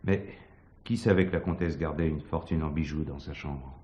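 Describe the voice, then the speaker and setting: An actor playing a deferential, streetwise police detective with a laconic, colloquial manner in a French crime drama. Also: male voice, extremely low pitch, normal speed, muffled recording. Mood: matter-of-fact.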